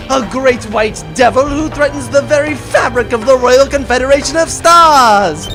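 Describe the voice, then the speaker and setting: A man's voice: extremely high pitch, pitched above the performer's own voice. A man playing a grandiose, theatrical villain baron in a comic audio drama, declaiming like a pompous orator.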